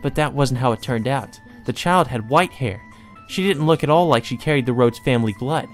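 A man's voice: medium pitch, faint music behind, lightly acted. A man voicing a deranged, lovesick teenage sister from a visual novel game, with theatrical, mocking, coaxing delivery. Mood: gleeful, cruel. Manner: taunting, theatrical, drawn-out coaxing.

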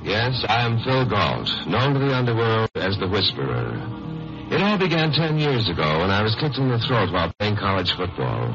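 Casting: dry, hard-boiled radio detective, lowish voice with lively swings, wisecracking old-time crime show delivery. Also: male voice, moderate pace. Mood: wry narration. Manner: tongue-in-cheek, dry. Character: wry, wisecracking hard-boiled male lawyer-sleuth. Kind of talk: 1950s radio crime drama narration. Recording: muffled old radio broadcast, music behind.

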